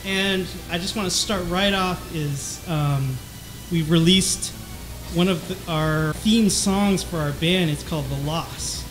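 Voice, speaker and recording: Co-host, male, light trebly voice, halting and self-mocking, music faint underneath. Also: high voice, low-quality recording. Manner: hesitant, many restarts. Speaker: podcast co-host, bass player. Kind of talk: casual chat.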